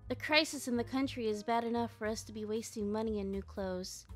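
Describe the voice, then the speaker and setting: A woman's voice: fairly high pitch, fairly quick, soft background music, lightly acted. A woman voicing a sweet, earnest, vulnerable young lady with polite, delicate speech in a comic dub. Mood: gentle, caring.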